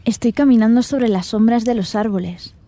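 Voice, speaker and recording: A woman's voice: on the high side, normal speed, warm tone, close to an everyday voice. An actress playing a playful, flirtatious woman from Spain in an intimate radio drama, with a Spanish accent and a teasing manner. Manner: teasing, coquettish. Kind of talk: radio drama acting, intimate phone conversation.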